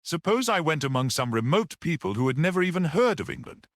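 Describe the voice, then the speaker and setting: A man voicing a mild, absent-minded, good-humoured priest in a mystery audiobook, musing and gently explanatory. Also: a little high, big pitch swings, fairly quick, no room sound, studio quality, voice pushed up. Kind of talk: audiobook character reading.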